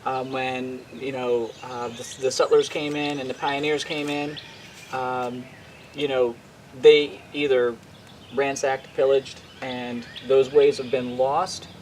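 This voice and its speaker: A man hosting an interview, asking casual questions in a halting way with many fillers and repeated words. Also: medium pitch, slight background noise. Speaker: interviewer, host.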